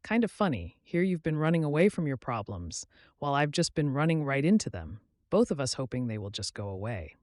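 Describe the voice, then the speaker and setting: A man's voice: mid-range voice, sing-song, fairly quick, no echo, clean studio sound, close to an everyday voice. A man voicing a sarcastic, torn young engaged woman in story dialogue, with an emphatic delivery. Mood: teasing.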